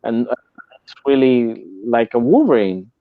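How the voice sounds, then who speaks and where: A man's voice: mid-range voice, measured pace, no echo, narrow, tinny audio. Puerto Rican man, stumbling casual talk. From Puerto Rico. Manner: repeats and restarts words, animated when making a point. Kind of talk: casual podcast chat.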